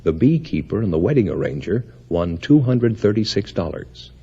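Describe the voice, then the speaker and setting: A mellow American male announcer with a lively, moving delivery. He speaks in 1950s radio style, on a muffled old recording. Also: on the low side, normal speed, breathy edge. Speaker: show announcer.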